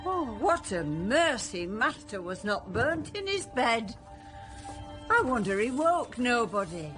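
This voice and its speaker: A woman's voice: on the high side, big pitch swings, takes it slowly, fairly bright tone, soft background music, heavily acted. An actress playing a fussy female household servant in a period radio drama. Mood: relieved wonder.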